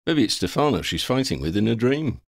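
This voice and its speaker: Audiobook sidekick, voiced by a man: young man, wry, exasperated, highly animated, raised pitch. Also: a little fast, creaky, no room sound, clean studio sound. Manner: incredulous, wisecracking. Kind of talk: audiobook character voice.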